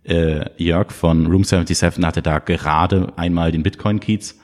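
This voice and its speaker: A man with a notably low voice talks as a podcast guest. He is from Munich and tells his stories with energy, often breaking into a chuckle. The talk is loose and conversational. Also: normal speed. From Munich, Germany. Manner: lively storyteller, chuckles while talking. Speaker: podcast guest, crypto shop founder.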